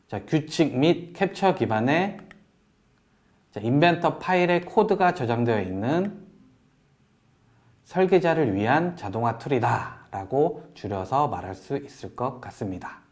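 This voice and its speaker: Man giving a step-by-step software tutorial. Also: mid-range voice. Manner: polite, explanatory, step-by-step delivery. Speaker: webinar presenter, software instructor. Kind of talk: software tutorial narration over screen demo.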